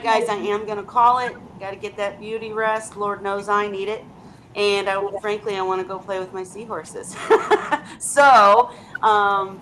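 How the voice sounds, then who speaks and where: A woman's voice: mid-range voice, fairly bright tone, slight background noise, poor audio. A woman hosting a casual group video chat. She talks in a chatty way, asks lots of questions and often repeats her words as she starts. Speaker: host of a live video chat.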